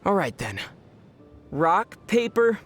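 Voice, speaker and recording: A man playing a calm young traveler hero in an anime video game, gently teasing in a measured way. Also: high-pitched, over loud music, exaggerated voice. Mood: playful.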